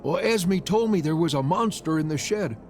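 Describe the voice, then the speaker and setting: A man voicing an anxious, excitable young boy with urgent, pleading delivery in a visual novel reading. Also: a little high, normal speed, faint music behind, close to an everyday voice. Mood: casual, curious.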